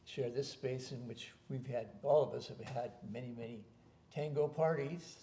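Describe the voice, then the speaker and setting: A man's voice: medium pitch, fairly even intonation, moderate pace, mellow, echoey room. A man hosting a casual interview at home, asking rambling questions with many hesitations. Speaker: host. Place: home after dinner.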